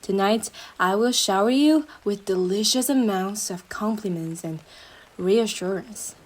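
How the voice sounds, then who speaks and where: A woman's voice: mid-range voice, lightly acted. A woman playing a young, obsessive yandere girlfriend in a roleplay monologue, syrupy and menacing, speaking intimately to her partner with a jealous intensity. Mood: possessive, adoring. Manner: slow, sugary endearments swinging into intense jealous outbursts. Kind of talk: dramatic roleplay monologue addressed to the listener.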